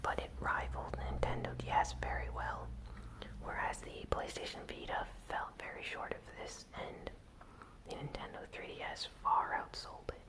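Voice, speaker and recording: A host whispering a gaming news recap in ASMR style, with soft, unhurried, deliberate phrasing. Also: poor audio.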